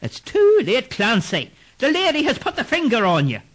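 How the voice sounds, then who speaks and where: A wailing headless ghost. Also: male voice, wildly swooping pitch, a bit bright, full character voice. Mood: grim. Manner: high, drawn-out ghostly wail. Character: dead man's ghost, headless, mournful and eerie.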